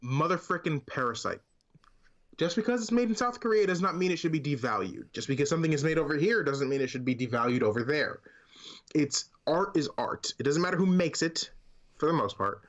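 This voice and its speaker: A man's voice: a little high, expressive, fairly quick, fairly bright tone, highs cut off. A man co-hosting a casual conversational podcast, speaking in an emphatic, opinionated way. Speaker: podcast co-host.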